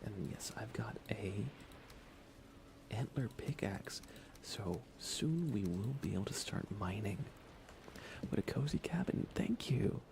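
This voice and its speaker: A man streaming ASMR in a soft, often whispery voice, chatting in an unhurried, low-key way with pauses. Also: creaky. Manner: hushed, unhurried, relaxed delivery with pauses. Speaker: ASMR livestreamer.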